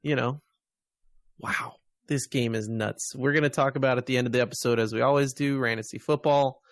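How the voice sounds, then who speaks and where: A man's voice: mid-range voice, fairly even intonation, brisk, no room sound. An American man hosting a podcast, chatting casually about games and media. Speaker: podcast host.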